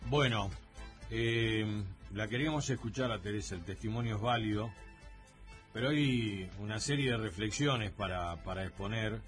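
A man's voice: lowish voice, normal speed, soft background music. A man hosting a radio talk show, thinking aloud with many hesitations and fillers. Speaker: radio host.

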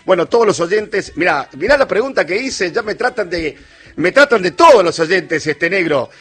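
Husky, higher-pitched Argentine radio man. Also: big pitch swings, fairly bright tone. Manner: conversational, emphatic, with hesitant searching pauses. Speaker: radio sports talk host. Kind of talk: opinionated sports talk, reading listener messages.

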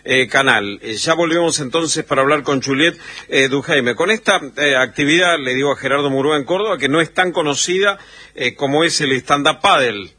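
A man hosts a live national radio broadcast and talks with guests in a conversational way, with some hesitant fillers. His voice is slightly high, bright and husky. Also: fairly quick. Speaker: radio host.

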